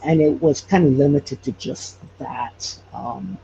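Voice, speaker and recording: Halting man with a gravelly, fry-edged voice. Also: mid-range voice, dark tone, highs cut off, slight background noise. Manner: halting, hesitant with repeated false starts. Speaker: podcast creator and guest. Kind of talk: casual interview storytelling.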